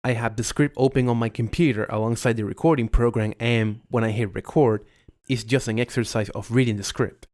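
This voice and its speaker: A man reading his own scripted voiceover in a measured, formal way, close to a USB condenser mic at home. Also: mid-range voice, steady tone, darkish tone. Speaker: video creator.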